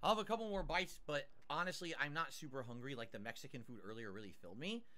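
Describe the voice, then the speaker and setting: A man's voice: medium pitch, expressive, quick pace, pushed and bright. A man streaming live, chatting casually as he eats and reads out viewer tips and thanks. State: mouth burned, nasal drip. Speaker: livestreamer.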